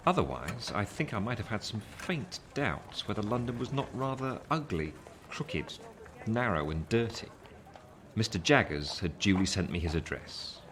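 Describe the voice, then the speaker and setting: An actor playing a reflective man narrating his own youth in a literary radio play, measured and wistful. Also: on the low side, big pitch swings, noisy background.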